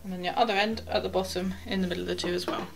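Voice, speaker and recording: A young woman, a sailing instructor, walking through knot-tying step by step in a chatty way, with quiet asides as she works the rope, recorded close to a camera in a small wooden cabin. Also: lowish voice, fairly even intonation, rapid-fire, creaky, noisy background.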